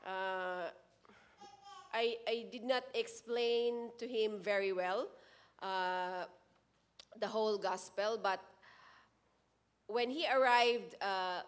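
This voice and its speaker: A woman in her forties sharing a personal testimony at a church service into a handheld mic, earnest and halting, often restarting her words. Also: mid-range voice, fairly even intonation, clear, resonant tone. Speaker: church member giving testimony.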